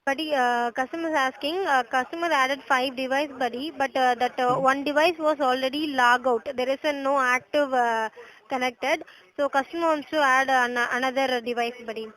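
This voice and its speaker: A woman's voice: sits high, steady tone, extremely slow, a bit bright, rough recording. A woman with a Tamil accent working as a customer service agent, politely interpreting on a phone support call and a bit hesitant in English.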